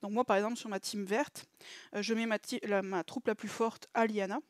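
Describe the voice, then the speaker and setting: A woman talks viewers through her game results in a relaxed, chatty way. She often stops to hesitate and fill the gaps before going on. Her voice sits at an ordinary pitch, and the recording is dry and close. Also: no echo. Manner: chatty, hesitant, many filler pauses. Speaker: mobile game channel host. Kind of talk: casual gameplay debrief to viewers.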